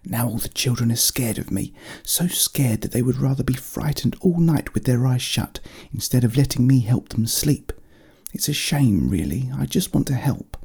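A man's voice: mid-range voice, expressive, moderate pace, creaky, mellow, slightly rough, voice pushed up, exaggerated voice. A man voicing a small, friendly magical teddy bear in a children's story, speaking politely and soothingly. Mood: earnest.

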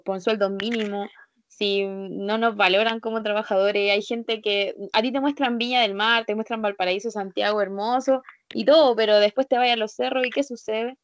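A woman's voice: a little high, dull audio. A woman being interviewed as a union leader, speaking informally in a candid, earnest, colloquial way. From Spain, living in Chile.

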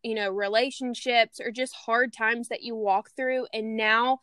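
A woman hosts a casual podcast interview, gushing and bubbly as she welcomes her guest. Her voice sits slightly high, smooth and clear, recorded dry and close with no room around it. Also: fairly even intonation, measured pace, dry recording. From Louisiana. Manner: bubbly, gushing, warm enthusiasm. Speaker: podcast host.

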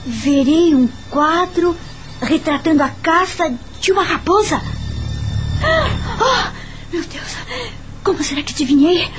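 An actress with a Brazilian accent playing an anxious, curious young governess in a dramatic radio drama, narrating in the first person. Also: female voice, high-pitched. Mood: suspenseful wonder.

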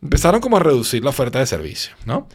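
Sleepy Venezuelan man, lively pitch. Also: rapid-fire, husky. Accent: Venezuelan Spanish. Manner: rambling storytelling with frequent tag questions. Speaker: podcast host. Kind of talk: casual podcast chat.

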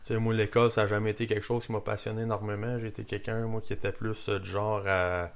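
A man in his twenties with a Québécois accent, a rodeo athlete telling his story in a relaxed, plain-spoken way as a guest. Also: lowish voice, quick pace. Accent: Québécois French. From Quebec. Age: 20s. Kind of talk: casual interview chat.

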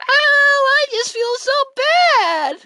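A woman's voice: very high-pitched, very animated, extremely slow, bright, forceful tone, slight background noise, pitched above the performer's own voice, heavily acted. A woman playing a weepy, sobbing young girl. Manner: sobbing, self-pitying wails. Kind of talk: homemade cartoon voice acting.

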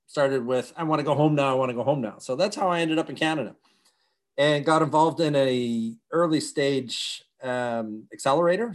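A middle-aged man raised in Europe, a company founder talking energetically in long run-on explanations during an interview. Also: mid-range voice. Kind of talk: conversational interview.

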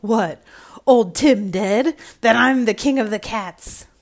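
Old tomcat, woman doing it, shrill, loud, high, strange-sounding. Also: slow. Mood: shocked, exultant. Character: old male tomcat, suddenly grand.

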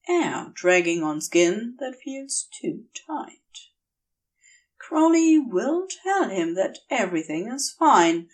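A woman reading a story aloud as scripted narration, careful and measured, with expressive delivery. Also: mid-range voice, distant mic. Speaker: fan fiction audiobook reader.

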